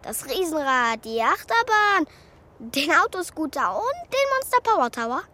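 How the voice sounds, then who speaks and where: A woman voicing a naive, eager wooden puppet boy in a children's radio play, with childlike, earnest excitement. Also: high-pitched, moderate pace, heavily acted. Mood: boastful.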